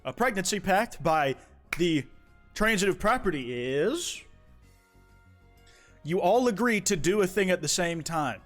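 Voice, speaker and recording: A man's voice: high voice, animated, measured pace, long pauses, a bit bright, faint music behind. A young man from the United States chatting casually on a live stream, reading viewer confessions aloud, rambling and swearing with many restarts.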